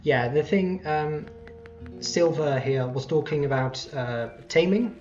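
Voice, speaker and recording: Casual gaming commentator, medium pitch, halting delivery, music underneath. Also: a little mellow, muffled recording. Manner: hesitant, halting. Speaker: let's player. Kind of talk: casual game commentary with read-out dialogue. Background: game music.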